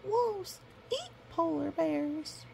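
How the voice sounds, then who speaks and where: A woman's voice: very high voice, animated, extremely slow, creaky, dark tone, a little hiss or hum, pitched above the performer's own voice, heavily acted. A woman voicing a frightened young polar bear cub, hesitant and pleading, in a storybook reading.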